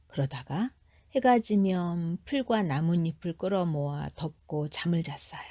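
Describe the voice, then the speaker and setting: Female children's storyteller: warm, mellow timbre, lowish pitch, expressive careful reading. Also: husky, no room sound, clean studio sound. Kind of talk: children's fairy tale narration.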